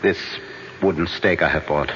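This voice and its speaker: Grave older vampire hunter, low voice, authoritative, urgent, weighty pauses, radio drama, noisy old recording. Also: male voice, fairly even intonation, a bit bright, lo-fi sound. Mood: grim, insistent. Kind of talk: radio horror drama acting.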